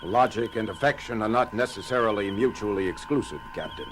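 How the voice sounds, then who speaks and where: An actor playing a logical, unflappable alien science officer, speaking formally and precisely in an audio drama. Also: male voice, on the low side, a little fast, a bit bright, slight background noise, natural voice. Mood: measured, reserved. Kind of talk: dramatic audio theater acting. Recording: music under the voice.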